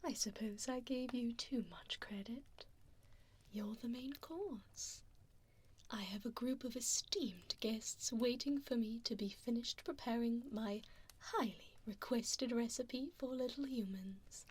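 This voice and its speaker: A woman playing an evil, condescending female vampire in an ASMR roleplay, whispering breathily and close to a binaural microphone with soft, teasing menace. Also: fairly high pitch, breathy edge. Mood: condescending, menacing. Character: evil mean female vampire preparing a human as meal. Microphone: close binaural 3Dio microphone.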